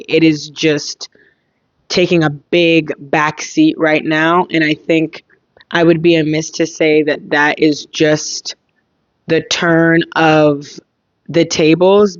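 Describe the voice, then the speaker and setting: A young woman hosting a casual solo podcast, thinking aloud in a reflective way, her voice slightly hoarse. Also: low voice, level tone, slow pace, no echo, dull audio. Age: young adult. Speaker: podcast host.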